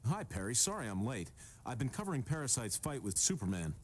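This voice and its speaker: An actor playing an earnest, resolute male superhero in a video game, with steady authority. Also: medium pitch, brisk, slight background noise, close to an everyday voice. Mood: casual. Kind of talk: video game cutscene acting.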